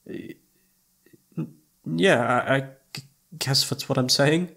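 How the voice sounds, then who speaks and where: Guy acting as a shy flustered young male incubus, slow halting talk, bit gravelly, romance asmr roleplay thing. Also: mid-range voice, fairly bright tone, full character voice. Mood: nervous, evasive. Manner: stammering, hesitant, halting.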